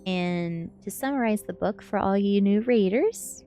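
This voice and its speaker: A woman's voice: mid-range voice, a little slow, warm tone, soft background music. A woman streamer reading a book ASMR style and chatting casually with viewers in a playful way. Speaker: VTuber streamer.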